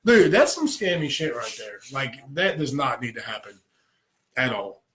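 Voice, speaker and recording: A man, hosting a casual late-night live show and talking crudely and excitedly. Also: mid-range voice, moderate pace. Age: 30s. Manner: crude, excitable, reads listener confessions aloud. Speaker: podcast host.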